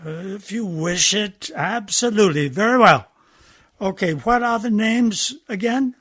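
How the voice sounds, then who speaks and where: An actor as an officious ship captain in a radio drama, pitched quite high for a man. He barks curt orders with exasperated authority, recorded close and dry. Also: a little slow, fairly bright tone, no echo. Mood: stern, exasperated. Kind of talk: radio play acting.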